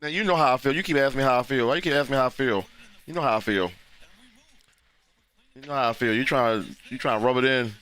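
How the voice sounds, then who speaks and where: Hoarse man reacting live to football. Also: mid-range voice, quick pace, long pauses, fairly bright tone, faint music behind. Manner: loose, talky, frequent restarts. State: losing his voice. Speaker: livestream host reacting to a football game. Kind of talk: casual live game reaction.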